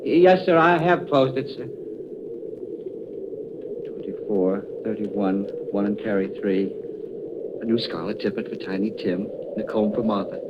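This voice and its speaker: A man's voice: lowish voice, a little slow, long pauses, highs cut off, natural voice. Man acting timid clerk, very noisy old recording. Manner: timid, hesitant, servile. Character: meek, deferential, kindly poor male clerk. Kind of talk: 1930s radio drama acting.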